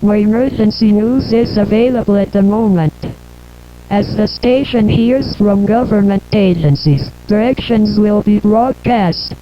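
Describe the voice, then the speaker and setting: A woman's voice: mid-range voice, a little slow. A synthetic female voice delivering a scripted emergency bulletin in a formal, impersonal manner. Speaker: emergency information announcer. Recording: muffled lo-fi broadcast with hum and noise.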